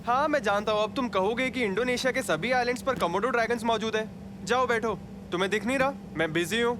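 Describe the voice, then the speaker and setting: A man voicing an impatient, self-important, studious older boy in an animated children's comedy. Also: extremely high pitch, fast talker, noisy background. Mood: irritated, busy.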